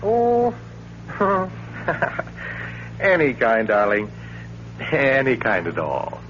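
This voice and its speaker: An actor playing a nervous, eager-to-please husband with a hesitant manner in a 1940s radio drama. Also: male voice, medium pitch, wildly swooping pitch, very slow, full character voice. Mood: uneasy, affectionate. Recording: muffled, noisy 1940s radio recording.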